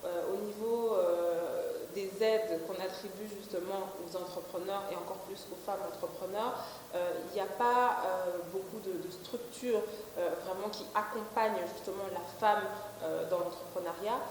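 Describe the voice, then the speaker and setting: A woman's voice: medium pitch, moderate pace. A woman in her twenties, a company director, answering interview questions with many fillers and restarts. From Belgium. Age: 20s. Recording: echoey room with faint hiss.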